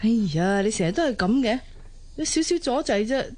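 An actress playing a frustrated, sighing young wife in a Cantonese radio drama, with a Hong Kong accent. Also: female voice, medium pitch, expressive, a little fast, darkish tone, natural voice. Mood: annoyed.

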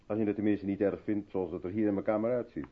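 A man's voice: on the low side, steady tone, fast talker, close to an everyday voice. An actor playing a polite, reserved South African man in a 1970s Dutch radio play, speaking with attentive restraint. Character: courteous, reserved South African man living in modest room. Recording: dull vintage radio recording, music behind.

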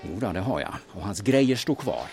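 A man's voice: on the low side, sing-song, vocal fry, music in the background. A man acting a self-assured, defiant courier in an audio drama, answering with dry retorts.

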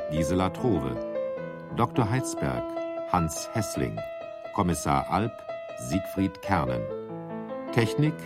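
A man reading credits as a radio announcer in a formal, scripted style. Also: low-pitched. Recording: prominent piano music under voice. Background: loud piano music.

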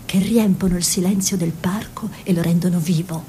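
An actress playing a proud, dreamy, sensual peasant-born woman who paints and models, speaking lyrically in theatrical radio drama style, often dropping to a breathy near-whisper. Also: lowish voice, fairly even intonation, normal speed, mellow, slight background noise, lightly acted. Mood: wistful.

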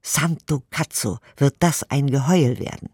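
A man's voice: medium pitch, measured pace, a little mellow, husky, no room sound. A man giving a formal, articulate, declamatory reading of old letters and reviews for a radio play. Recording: studio radio production with music.

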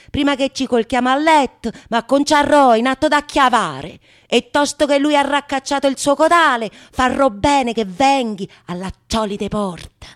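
A woman playing a fierce, lewd, vengeful wife in a Renaissance stage comedy, declaiming emphatically with drawn-out words and gleeful mock passion. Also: high voice, natural voice. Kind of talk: theatrical monologue of Renaissance comedy.

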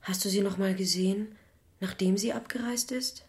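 A woman's voice: medium pitch, steady tone, normal speed, close to an everyday voice. An actress playing a young adult daughter who probes and reproaches her mother in a radio drama. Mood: reproachful, probing. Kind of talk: dramatic radio play dialogue.

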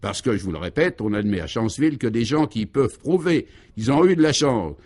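A man's voice: medium pitch, expressive, fairly quick, darkish tone, close to an everyday voice. Man playing a sententious, assured male driver. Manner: sententious, matter-of-fact about absurdities. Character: assured, sententious male driver explaining absurd local customs. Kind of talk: comic radio serial dialogue.

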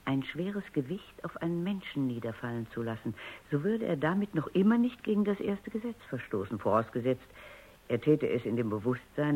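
A stern, precise scientist woman, muffled. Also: rumbling low. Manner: precise, commanding, didactic. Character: stern, coolly analytical female robot psychologist. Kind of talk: German radio drama acting. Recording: muffled, dull radio drama recording.

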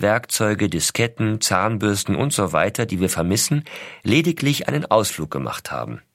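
A man playing an astronaut father reading his letters to his young son, measured and intimate, explaining things simply as to a child. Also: fairly low pitch, fairly bright tone, dry recording, clean studio sound, full character voice. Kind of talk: scripted narration, letters read aloud.

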